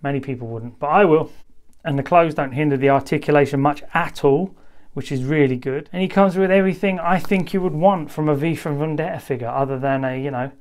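A man's voice: on the high side, brisk. Easygoing man chatting through a review. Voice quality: conversational, unscripted delivery. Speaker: toy reviewer. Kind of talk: casual hands-on review commentary.

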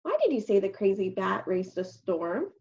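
A woman's voice: medium pitch, very animated, moderate pace, darkish tone, voice pushed down. A woman reading aloud and voicing a flustered, insistent goose in a farm storybook. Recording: dull lo-fi recording.

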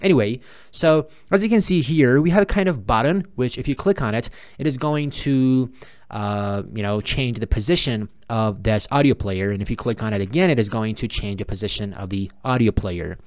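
A man, a native Persian speaker with a Persian accent, presenting a step-by-step software tutorial in an informal, explanatory and repetitive manner. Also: mid-range voice, normal speed, no room sound, studio quality. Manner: explanatory, repetitive, posing viewers' questions and answering them. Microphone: close mic, screen-recording voice-over.

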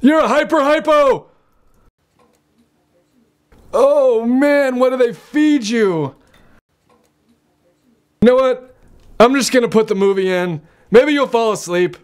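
A man's voice: very high voice, slow pace, pauses often, clear, resonant tone. A young man playing an exasperated old grandpa, theatrical and admonishing, telling a bedtime story in a comedy sketch.

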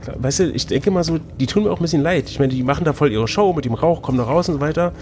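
A man's voice: fairly high pitch, quick pace. A man acting as game master, reading a fantasy gamebook aloud and bantering casually. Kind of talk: storybook narration mixed with casual banter. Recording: noticeable background noise.

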